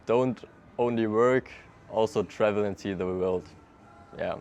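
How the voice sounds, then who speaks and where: A man's voice: lowish voice, measured pace, highs cut off, slight background noise. A young man with a German accent answering interview questions in English in an easygoing way, laughing often. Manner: relaxed, laughs often, loose non-native phrasing. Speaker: interviewee, traveler. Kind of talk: casual street interview. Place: outdoors in a city.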